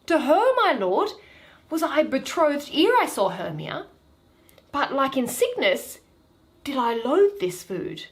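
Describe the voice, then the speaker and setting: A woman's voice: sits high, heavily acted. A woman reading Shakespeare, voicing an ardent, earnest young Athenian man in love, in theatrical verse.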